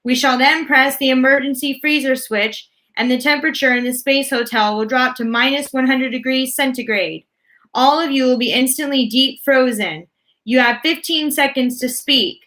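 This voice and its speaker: Here is a booming, commanding loudspeaker voice from mission control, as a woman reading a storybook puts it on. It is pitched well above her own reading voice, loud and barking, and it hammers out threats and orders with menace. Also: moderate pace. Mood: menacing.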